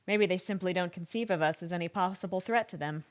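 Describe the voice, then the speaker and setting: An actress playing a thoughtful, troubled expert in an audio drama interview, speaking in a measured, reflective way. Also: female voice, lowish voice, lightly acted. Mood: wry, pondering.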